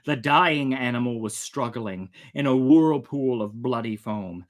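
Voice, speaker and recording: A storyteller reading a tale aloud dramatically, theatrically swelling and hushing. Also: mid-range voice, a little slow. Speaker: storyteller, host.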